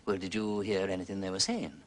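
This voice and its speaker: An actor playing a genial aristocratic detective in a period drama, urbane and effusively polite, with an upper-class English accent. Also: male voice, deep voice, level tone, fast, a little hiss or hum, close to an everyday voice. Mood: reassuring, probing.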